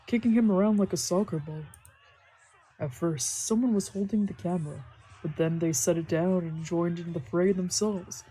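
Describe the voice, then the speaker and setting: A woman with a slightly low, husky voice reads a visual novel aloud as a streamer. Her tone is soft-edged and mellow, broken up by playful, slangy asides. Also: poor audio. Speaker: streamer doing a visual novel let's play. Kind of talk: reading game text aloud with casual reactions.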